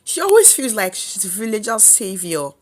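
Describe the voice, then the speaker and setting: A woman's voice: medium pitch, big pitch swings, moderate pace, a little mellow. A woman with a Nigerian accent voicing a spiteful, jealous village gossip in a folktale, scornful and mocking.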